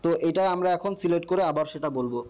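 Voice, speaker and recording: A man's voice: on the high side, rapid-fire, a little mellow. A man with a Bangladeshi accent hosting a casual step-by-step app tutorial, explaining and often checking that the listener follows. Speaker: tutorial host.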